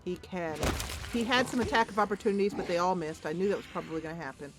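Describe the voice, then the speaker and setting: A woman's voice: on the low side, fast, noisy background. A woman doing casual gameplay commentary, thinking aloud playfully and talking to the game's characters. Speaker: host of a game playthrough.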